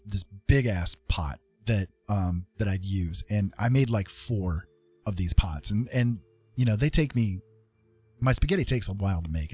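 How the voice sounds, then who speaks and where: Male streamer, slightly deep and rough-edged, rambling informally through a personal anecdote, music underneath. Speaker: livestream host. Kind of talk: casual rambling storytelling.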